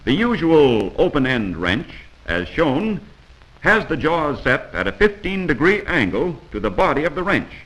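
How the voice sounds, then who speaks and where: A man's voice: on the high side, very animated, measured pace, fairly bright tone, poor audio. An American man narrating a scripted 1940s instructional film in a didactic, admonishing manner, heard on an old film soundtrack.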